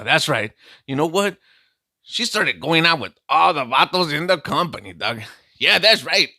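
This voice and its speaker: A man doing a cocky streetwise vato, boasting through a comic tale in slang. The voice sits a bit high and is very bright, swinging up and down with lively intonation. It is recorded close and dry. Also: dry recording, exaggerated voice. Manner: slangy, calls listener "dog" constantly, boastful storytelling. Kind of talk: comic character monologue.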